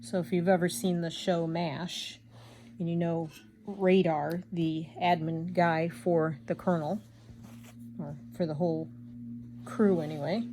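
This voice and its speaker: Older woman, craft vlogger, loud and rambling, lower pitch, faint room noise. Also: fairly even intonation, a little slow. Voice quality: loud, projecting voice. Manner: chatty, rambling, self-deprecating. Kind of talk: casual chat while embroidering.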